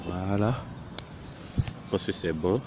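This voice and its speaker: Man with a cold, deep voice, unhurried tutorial instructor. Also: background noise. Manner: slow, hesitant, talks through clicks step by step. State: has a cold. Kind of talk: screen-recorded software tutorial.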